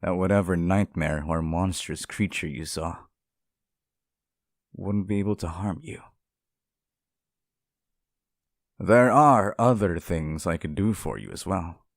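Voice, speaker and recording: Man voicing a deep, doting possessive male demon. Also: a little slow, no room sound, clean studio sound. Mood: doting, eager. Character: devoted, possessive male demon sealed in a cage, sweet but menacing. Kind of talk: ASMR roleplay acting.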